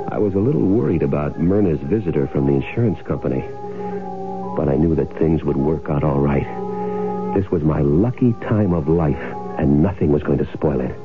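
An actor playing a crooked, greedy, impatient man in an old-time radio drama, bossy and slangy. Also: a bass voice. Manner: hard-boiled, bossy, slangy. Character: crooked, greedy, impatient man hiding out after faking his death. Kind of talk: old-time radio drama acting with first-person narration. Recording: muffled, narrow-band old radio broadcast with music.

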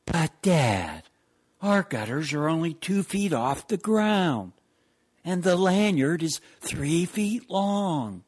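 A man's voice: fairly high pitch, very animated, slow pace, darkish tone, husky, full character voice. A man playing an inquisitive, doubtful young son who questions and whines in a comedy radio sketch. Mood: puzzled, protesting. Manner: questioning, whiny protest.

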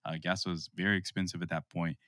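Low-voiced man hosting a podcast. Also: little pitch movement, fast talker, sharp tone, no echo, clean studio sound. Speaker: podcast host. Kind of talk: casual podcast interview chat.

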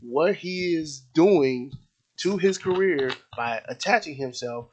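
A man's voice: fairly high pitch, animated, slow pace, darkish tone, slight background noise. An American man co-hosting a sports podcast, chatting casually about football in an informal, slangy, opinionated way. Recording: dull, lo-fi podcast audio.

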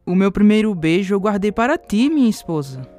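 A man's voice: sits high, lively intonation, brisk, a little mellow, faint music behind. A Brazilian man playing a smitten, penniless young suitor in a radio soap, with earnest romantic delivery. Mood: adoring.

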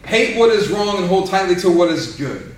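A man preaching a casual, energetic sermon to a small audience, peppering his talk with "man" and "right?". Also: high voice, moderate pace, a little hiss or hum. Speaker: pastor. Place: church room with a small audience. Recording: echoey room, mic at a distance. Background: small live audience responding.

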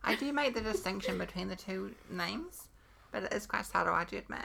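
A woman's voice: mid-range voice, lively intonation, brisk, creaky, a bit bright, a little hiss or hum. A woman with a New Zealand accent co-hosting a podcast in casual, dry chat, swearing now and then. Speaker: podcast co-host.

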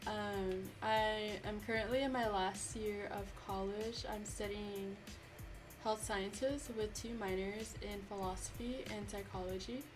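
A twenty-year-old woman co-hosting a podcast, who calls her voice naturally deep and talks in a steady, matter-of-fact way. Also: a little slow, over music, low-quality recording. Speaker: podcast co-host. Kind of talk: casual chat.

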